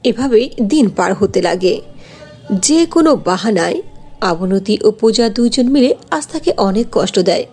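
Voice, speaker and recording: Female storyteller, mid-pitched and expressive, a touch breathy, reading cartoon narration. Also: measured pace.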